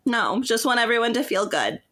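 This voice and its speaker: A woman's voice: on the high side, sing-song, brisk, fairly bright tone. A woman co-hosting a podcast, chatting casually in an informal, emphatic way and often saying "like". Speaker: podcast co-host.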